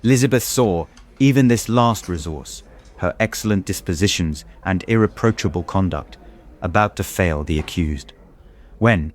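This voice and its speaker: A tormented, guilt-ridden gentleman narrating a classic novel. His voice is low, and his delivery is grave, formal and literary. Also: animated, moderate pace. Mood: somber. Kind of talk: scripted audiobook narration.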